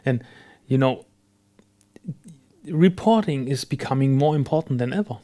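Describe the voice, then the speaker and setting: Halting, agreeable man on a podcast. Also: mid-range voice, lively intonation, dark tone. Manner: agreeable, halting, searching for words. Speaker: podcast host. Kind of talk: conversational business podcast discussion.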